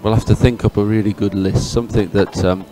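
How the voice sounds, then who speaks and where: Male live sports commentator, lowish register, unscripted and a bit halting, prominent music under the voice. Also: fairly even intonation, dark tone. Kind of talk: live race commentary and chat. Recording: music behind the voice.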